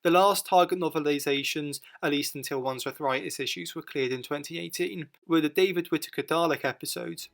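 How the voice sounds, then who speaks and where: A man reading scripted narration as the narrator of a documentary. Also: a little high.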